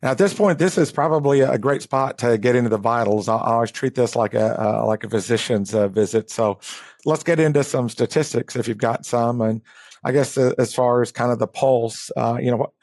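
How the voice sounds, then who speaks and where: A man hosts a podcast in a casual, joking way, sometimes repeating words as he gets going. His voice is gravelly with plenty of fry. The sound is close and dry. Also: medium pitch, fairly quick, darkish tone, no room sound. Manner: joking and self-deprecating, stammers on repeated words. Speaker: podcast host. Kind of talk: casual interview chat.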